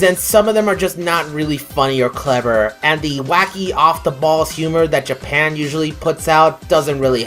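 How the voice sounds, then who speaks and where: A reviewer giving a scripted, informal and opinionated review as host of an online show. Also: medium pitch.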